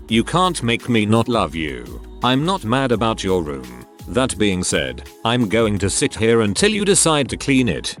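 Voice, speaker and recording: A man narrating online posts aloud in an even, measured storytelling delivery. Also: lowish voice. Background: faint background music.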